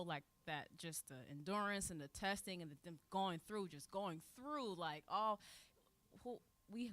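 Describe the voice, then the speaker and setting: A woman taking part in a Bible study, reflecting informally and earnestly, haltingly repeating phrases for emphasis. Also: lowish voice, fairly bright tone, clean tone.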